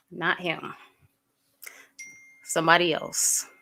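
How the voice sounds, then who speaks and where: A woman's voice: on the low side, gravelly, pushed and bright, slightly rough. A woman in her thirties hosting a casual livestream, blunt, fired up and profane, with lots of fillers, speaking straight to her chat and greeting viewers by name. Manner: greets viewers by name and addresses them directly. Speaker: livestream host.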